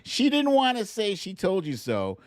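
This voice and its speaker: A man's voice: high voice, huge pitch swings, moderate pace. A man hosting a casual livestream, chatting through news and viewer comments in a wry, teasing, colloquial way. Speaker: livestream show host.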